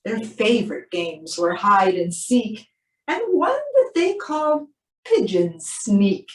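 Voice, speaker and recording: A woman reading aloud to children in an expressive storybook style, drawing out key words for emphasis. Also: medium pitch, slow. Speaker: animal shelter presenter reading a picture book. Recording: roomy, distant microphone.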